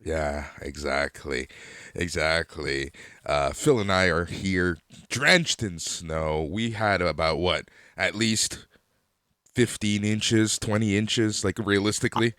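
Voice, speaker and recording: A man's voice: sits low, slow, a bit bright, clean tone, no echo, clean studio sound. A man from Canada hosting a casual podcast, chatty and upbeat, laughing easily. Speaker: podcast host.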